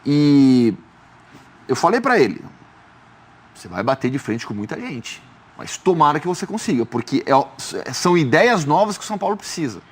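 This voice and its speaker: A man, hosting a studio football debate and asking measured questions. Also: fairly high pitch, very animated, breathy edge. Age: 30s. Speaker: sports journalist host.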